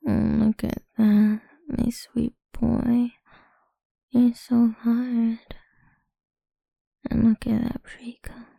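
Slow, whispering, gravelly woman. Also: fairly high pitch, monotone, warm tone, no echo, studio quality. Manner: slow, coaxing, mostly whispered. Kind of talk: whispered intimate ASMR roleplay.

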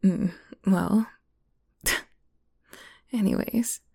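A woman performing an intimate audio roleplay, whispering and moaning in a teasing, breathy manner. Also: medium pitch, steady tone, drawn-out words, mellow. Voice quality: breathy, husky.

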